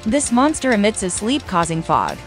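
A woman's voice: mid-range voice, wildly swooping pitch, moderate pace, soft background music. A woman reading scripted narration as the presenter of a quiz.

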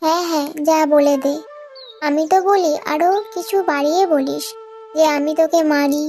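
A woman voicing an arrogant, greedy middle-aged stepmother in a cartoon, scolding loudly and sneering. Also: high voice, fairly even intonation, moderate pace, soft background music, close to an everyday voice. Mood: scornful. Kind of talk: cartoon moral-story voice acting.

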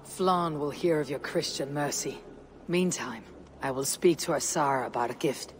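A deep female voice, an actress playing a game role as a bold Viking warrior. She is blunt, measured and resolute, with a faint noise in the background. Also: natural voice. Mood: firm, resolute. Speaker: game protagonist. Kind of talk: dramatic video game cutscene acting.

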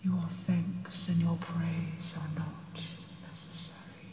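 Woman as a slow, hushed, cavernous dragon mentor. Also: on the low side, level tone. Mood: stern but kind. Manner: hushed, breathy, formal and deliberate. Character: gentle, scholarly female dragon, proud and measured mentor. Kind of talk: ASMR fantasy roleplay. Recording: cavernous reverb, distant, noisy ambience.

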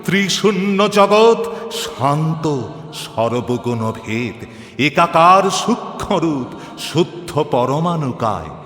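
Slow, solemn man reciting in deep reverb. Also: big pitch swings, a bit bright. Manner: solemn, declamatory, drawn-out chanting phrasing. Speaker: poetry reciter. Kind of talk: dramatic devotional poetry recitation. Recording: long hall reverb, music behind. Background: music.

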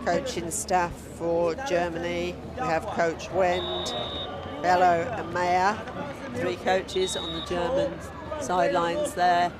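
High-pitched male sports commentator over loud crowd noise. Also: monotone, takes it slowly. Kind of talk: live match commentary. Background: noisy outdoor venue ambience, crowd.

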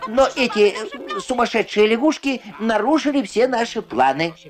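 A man voicing a small, excitable, pleading plush teddy bear in a dubbed puppet cartoon. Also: extremely high pitch, normal speed. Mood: explaining. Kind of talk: dubbed puppet animation dialogue. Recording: old film soundtrack with loud music.

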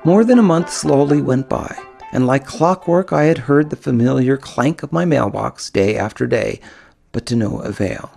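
Lively male storyteller with creaky voice. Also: mid-range voice, moderate pace, faint music behind. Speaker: author and audiobook narrator. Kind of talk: first-person scripted narration.

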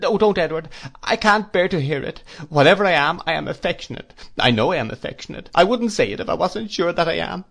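A man's voice: mid-range voice, fairly quick, gravelly. A man voicing a timid, tearful young wife who pleads plaintively and falters, in a classic novel reading. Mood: pleading, distressed. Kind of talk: classic novel read aloud.

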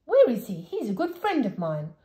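A woman voicing an old, hard-of-hearing, cheerful saucepan man from a storybook who mishears words and talks loudly. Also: a little high, moderate pace, roomy sound, voice pushed up. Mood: excited. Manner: loud, excitable, mishears words.

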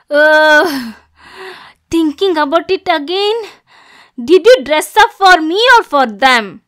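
Casting: woman to play jealous yandere girlfriend for ASMR roleplay; high voice, slow delivery, menacing yet cooing, possessive. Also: animated, heavily acted. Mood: furious, accusing. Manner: swings between soft cooing and angry, degrading outbursts. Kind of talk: ASMR roleplay, dramatic monologue.

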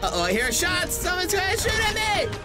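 A young man giving casual let's play commentary in an excitable, self-deprecating way, often exclaiming. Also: very high voice, normal speed. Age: young adult. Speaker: voice actor and gaming video host. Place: small indoor room. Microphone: desk microphone close by, with headset worn. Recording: game music behind the voice.

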